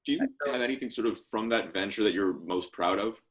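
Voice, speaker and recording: A man's voice: lowish voice, a little fast. A young man co-hosting a podcast, introducing and questioning guests in a casual conversational style. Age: young adult. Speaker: podcast co-host, interviewer.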